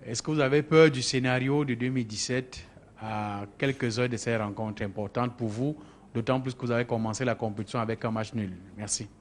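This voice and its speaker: Male reporter, rapid delivery, mid-pitched, press question over faint room noise. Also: steady tone. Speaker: journalist.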